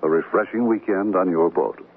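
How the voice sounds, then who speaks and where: An actor playing a stuffy, gentlemanly bachelor in an American radio comedy, with a rough, husky voice and pompous, courtly speech. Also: male voice, low voice, moderate pace. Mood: eager, stuffy. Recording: muffled band-limited radio recording.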